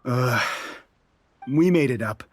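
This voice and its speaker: An actor playing a stubborn, boozy resistance soldier in a video game, speaking in an impassioned, combative way. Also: male voice, mid-range voice, animated, slow pace, breathy edge, no echo. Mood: sheepish. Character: hot-blooded, stubborn resistance soldier man, boozy and distrustful.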